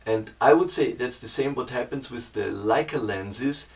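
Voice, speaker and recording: German man explaining his photo workflow in careful, halting English, mid-pitched, recorded from a little way off the mic. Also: creaky, far from the mic. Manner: hesitant, searching for English words. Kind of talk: casual explanation to camera.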